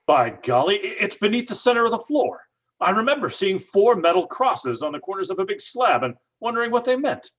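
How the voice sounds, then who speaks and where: A man's voice: high-pitched, big pitch swings, brisk, a bit bright, heavily acted. An actor playing a skeptical father in an old-time radio drama, brash and dismissive, with lots of stammered fillers. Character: blustering skeptical father, middle-aged house owner.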